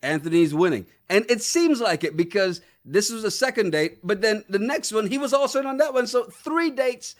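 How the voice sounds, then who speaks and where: A man's voice: sits high, expressive, moderate pace, few pauses. A man co-hosting a casual podcast, laughing often and acting out quotes in his reality-show recap chat. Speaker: podcast co-host.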